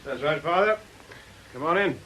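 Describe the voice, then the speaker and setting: An actor playing a chatty, affable but wary club owner in a radio drama, with a teasing, colloquial manner. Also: male voice, on the high side, animated, normal speed, background noise, lightly acted. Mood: genial, teasing. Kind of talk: British radio drama acting.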